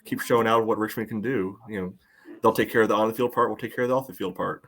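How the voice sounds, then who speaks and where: A man co-hosting a sports podcast, chatting casually with halting phrasing full of filler words. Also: on the low side, fairly even intonation, fairly bright tone. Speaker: podcast co-host.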